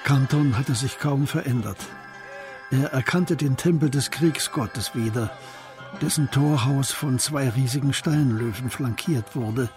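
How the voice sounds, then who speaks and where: A man with a mid-pitched voice narrates a crime radio play. His delivery is measured and literary, telling the story over soft background music.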